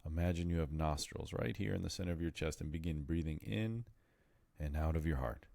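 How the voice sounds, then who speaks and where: Very deep-voiced man guiding meditation. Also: creaky, dark tone, no room sound. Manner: soothing, measured, deliberate phrasing with pauses. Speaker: meditation guide. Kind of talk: guided meditation.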